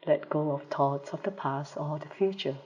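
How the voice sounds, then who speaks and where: A woman leading a guided meditation as a teacher, calm and measured, with pauses between phrases, recorded over a live video call. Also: deep voice, lo-fi sound. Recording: reverberant hall-like sound, live video call.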